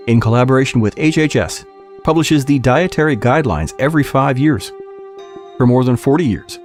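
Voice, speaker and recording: Man, scripted formal reading, animated pitch movement, medium register, podcast narrator, soft music behind. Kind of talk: scripted audiobook-style reading of a policy document.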